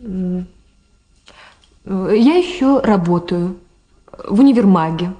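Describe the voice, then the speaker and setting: An actress playing a shy, polite, modest young student in a radio drama, speaking hesitantly and with reserve. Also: female voice, mid-range voice, expressive, slow pace, warm tone. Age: young adult. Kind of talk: Soviet radio drama acting.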